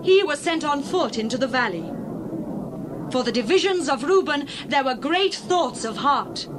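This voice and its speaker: A woman's voice: high voice, animated, moderate pace. A woman playing an exultant biblical prophetess in a dramatized scripture reading, declaiming solemnly. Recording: clear background ambient noise.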